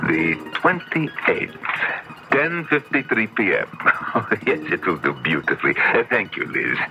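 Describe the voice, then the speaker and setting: A man's voice: fairly low pitch, wildly swooping pitch, measured pace, vocal fry, music in the background, full character voice. An actor in a 1970s radio drama playing an enraged, pompous male author of occult books with a menacing, theatrical manner. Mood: coldly menacing. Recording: muffled old radio broadcast recording.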